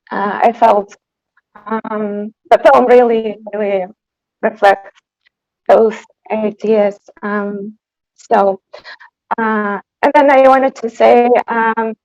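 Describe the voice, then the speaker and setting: A woman who is a native Tatar speaker, giving an informal, reflective introduction as a composer, with halting phrasing, over a video call. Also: mid-range voice, steady tone, a little mellow, highs cut off. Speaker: composer introducing her piece. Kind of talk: informal spoken introduction at an online concert.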